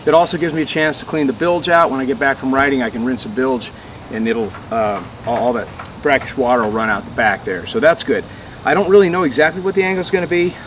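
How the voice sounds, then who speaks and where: Fast-talking American man outdoors, faint background noise. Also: mid-range voice, fairly bright tone, low-quality recording. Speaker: DIY vlogger. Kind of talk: casual explanatory vlog talk to camera. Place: outdoors on a residential waterside dock.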